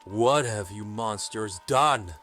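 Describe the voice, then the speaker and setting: An actor playing a defiant, righteous captured rebel in an audio drama, with an indignant, dramatic manner. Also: male voice, on the low side, slow, fairly bright tone, soft background music. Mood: groggy, indignant.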